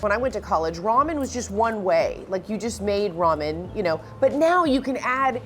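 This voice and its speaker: A woman's voice: medium pitch, moderate pace, slight background noise. A woman hosting a lifestyle show, reacting enthusiastically as she tastes food. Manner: enthusiastic, animated reactions while tasting. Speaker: TV host.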